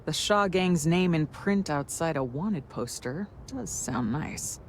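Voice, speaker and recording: A woman's voice: low-pitched, expressive, takes it slowly, a little hiss or hum. A woman playing a menacing, sardonic frontier gang boss in a video game, with a taunting, theatrical drawl. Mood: amused.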